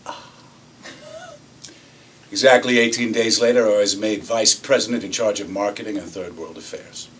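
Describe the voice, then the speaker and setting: A man acting as swaggering executive, sardonic storyteller, forceful bright voice, theatrical pauses, recorded from a distance with faint noise. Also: lowish voice, steady tone, lightly acted. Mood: quietly menacing. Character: cynical, swaggering American corporate executive telling a story. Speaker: actor performing a monologue. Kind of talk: theatrical stage monologue, conversational storytelling. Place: acting workshop room.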